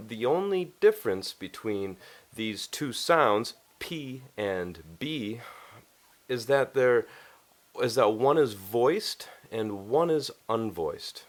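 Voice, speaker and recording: A man teaching English pronunciation in an instructional style, explaining things deliberately and step by step. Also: medium pitch, animated. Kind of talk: instructional lesson to camera.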